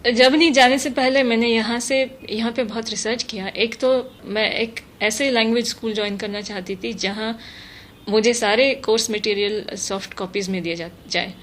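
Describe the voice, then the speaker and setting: A woman's voice: a little high, normal speed. Thoughtful woman, noisy recording. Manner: thoughtful, mixes English terms into speech. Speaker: interview guest, translator. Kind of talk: measured interview answers. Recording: noticeable steady background noise.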